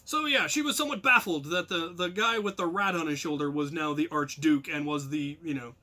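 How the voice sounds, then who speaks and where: A man with a high, bright, forceful voice hosts a casual storytelling video. He rambles through his anecdotes in a self-deprecating way, leaning on words for animated emphasis. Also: fairly quick. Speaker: storyteller, channel host.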